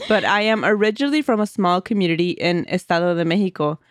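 Casting: chatty, giggly woman co-host, close dry mic, mid pitch. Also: brisk, dry recording. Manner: giggly, chatty, reads aloud with asides. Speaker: podcast co-host. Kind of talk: casual chat and reading listener stories.

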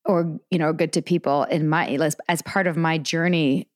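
A woman's voice: deep voice, fairly even intonation, moderate pace, dry recording, studio quality. A woman co-hosting a podcast in casual conversation, reflective and hedging as she talks. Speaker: podcast co-host.

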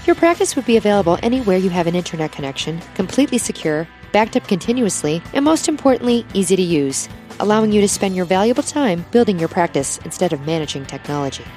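A woman reading a scripted ad in a smooth, persuasive way. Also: medium pitch, very animated, fast, a little mellow, faint music behind. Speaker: ad announcer. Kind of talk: scripted ad read.

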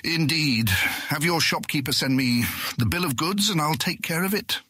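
An actor playing a genial, naive-seeming guildmaster in a fantasy audio drama, with breezy, eager, lordly phrasing. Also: male voice, on the high side, expressive, normal speed, sharp tone, husky, full character voice. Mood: pleased, breezy.

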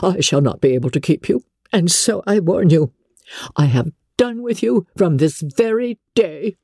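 A woman's voice: lowish voice, expressive, moderate pace, mellow, husky, no echo, studio quality, pitched above the performer's own voice. A woman narrator voicing a fretful, melodramatic middle-aged mother who complains plaintively in a classic novel reading. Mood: indignant scolding. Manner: doleful, wailing complaint.

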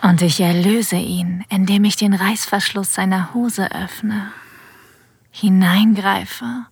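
Woman playing a breathy, whispering, sensual female boss. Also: medium pitch, steady tone, no echo, full character voice. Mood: aroused, intimate. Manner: slow, intimate, deliberate. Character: female boss having a secret affair, sensual and confident. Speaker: narrator and lead in an erotic audio drama. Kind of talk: ASMR-like scripted first-person narration. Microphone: close, dry studio mic.

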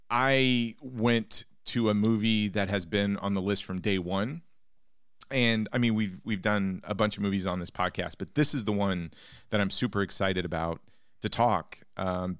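This man speaks in a fairly low, smooth voice. He hosts a podcast in an eager, slightly rambling way. The sound is close and dry. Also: fairly bright tone, no room sound. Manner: enthusiastic, rambling, asks guests questions. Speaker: podcast host. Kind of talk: casual podcast hosting.

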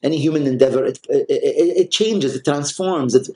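Mellow-voiced hesitant male collector. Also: fairly high pitch, normal speed. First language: Arabic. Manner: hesitant, many restarts and fillers. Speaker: art collector guest. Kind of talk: conversational interview.

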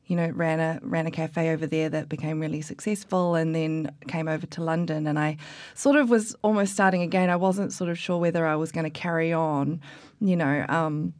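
A woman's voice: low-pitched, fairly even intonation, fairly quick, a little mellow, no echo. A woman from New Zealand, a coffee expert, chatting casually in an interview with lots of fillers and restarts.

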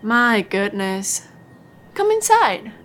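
A woman playing a warm, chatty older housewife in a naturalistic audio drama. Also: on the high side, sing-song, takes it slowly, background noise. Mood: fond, welcoming.

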